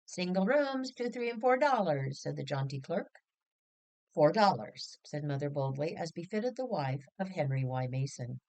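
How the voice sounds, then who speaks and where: A woman narrates a storybook in a low register. Her delivery is expressive and highly animated, rising and falling freely as she reads the prose. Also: poor audio. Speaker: audiobook reader.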